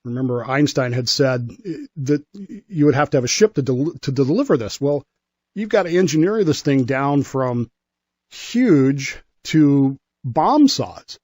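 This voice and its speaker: A man's voice: mid-range voice, expressive, unhurried, a little mellow, dry recording, studio quality. A man explaining history in an emphatic, conversational way, as a guest expert on a radio show. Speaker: former nuclear submarine serviceman.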